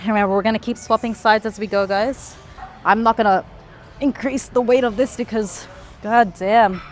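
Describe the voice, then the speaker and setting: A woman's voice: fairly high pitch, fairly even intonation, normal speed. A woman fitness vlogger explaining exercises while she does them, a little out of breath, with effortful sighs and exhales. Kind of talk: casual workout instruction. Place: gym.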